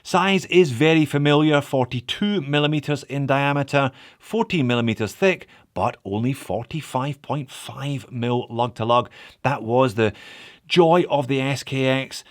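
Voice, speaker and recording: A man's voice: mid-range voice, a little slow. Male host, middle-aged, nasal from a cold, dry wit, direct-to-camera watch review patter. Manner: dry humour, conversational asides to viewers. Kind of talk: scripted video review.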